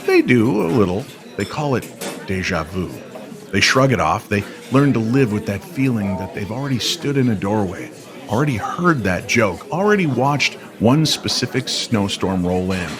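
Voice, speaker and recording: A man playing a weary, enigmatic stranger in a radio drama, speaking in a calm, measured way. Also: on the low side. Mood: knowing, grave. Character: weary, cryptic male stranger who knows hidden truths. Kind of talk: old-time radio drama acting. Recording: crowd chatter behind the voice.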